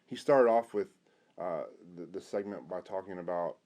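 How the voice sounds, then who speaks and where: A middle-aged man, a pastor, hosting an informal conversational interview in a halting way. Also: low-pitched, a little mellow. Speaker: host, pastor.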